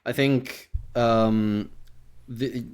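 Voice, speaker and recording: A man hosting a casual podcast interview, speaking in a hesitant, halting way. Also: mid-range voice, steady tone, a little mellow, smooth. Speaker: podcast host.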